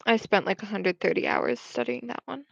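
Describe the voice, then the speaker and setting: A woman's voice: fairly high pitch, moderate pace, creaky, dry recording. Young woman chatting on a livestream. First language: English. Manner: rambling, chatty, switches between English and French. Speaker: livestream host. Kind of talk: casual livestream chat reading comments. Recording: dull, dry home stream audio.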